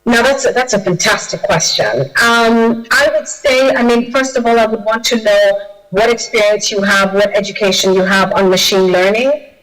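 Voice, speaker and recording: A woman's voice: medium pitch, moderate pace, a bit bright, poor audio. A woman from Kenya, a guest speaker on a video call, answering audience questions with many fillers and restarts. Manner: hesitant, with frequent fillers and restarts.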